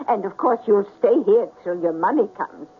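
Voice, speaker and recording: A woman's voice: medium pitch, expressive, normal speed, breathy edge. An older woman playing a kindly, trusting little old lady who fusses hospitably, in a 1970s radio drama. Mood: warm, fussing. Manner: fussing, motherly, chatty. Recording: noisy, narrow-band 1970s radio recording.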